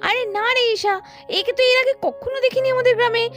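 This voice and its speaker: A woman's voice: very high voice, steady tone, fast, a bit bright, faint music behind, voice pushed up. A woman voicing a busy village mother doll in a children's cartoon, chatty, grumbling and affectionate. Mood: puzzled. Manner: chatty, scolding, coaxing.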